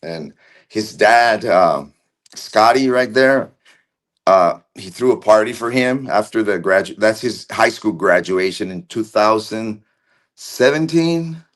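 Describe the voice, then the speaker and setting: A man with a low voice speaks haltingly on a video call, often trailing off and starting over with fillers. He is of Argentine background and talks as a guest recalling past events in a casual, searching way. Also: expressive. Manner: halting, with many fillers and restarts. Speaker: interview guest. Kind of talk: casual recollection.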